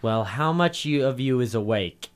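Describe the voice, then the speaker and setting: An actor playing a brash, wisecracking detective's assistant and narrator, breezy and cheeky, in a 1940s radio drama. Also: male voice, medium pitch, lively intonation, normal speed, very noisy, lightly acted. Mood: wry narration.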